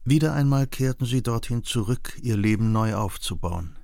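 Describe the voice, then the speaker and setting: A man reads literary prose as a documentary narrator. His medium-pitched voice has a clear gravelly creak and is recorded very dry. He phrases deliberately and with drama. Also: mellow, no room sound.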